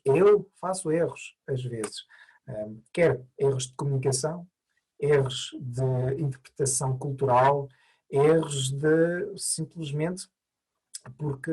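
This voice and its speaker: A man's voice: mid-range voice, dark tone, breathy edge. A man who learned Portuguese as an adult, by birth, answering interview questions thoughtfully with many hesitations and restarts. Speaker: mediator, interview guest.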